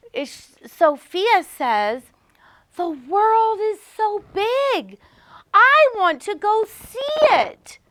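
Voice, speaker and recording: Female primary teacher for a read-aloud lesson. Very high, lively, bright voice, slow and emphatic, questioning young pupils. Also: no echo. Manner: animated, simple questions with emphatic repetition for small children.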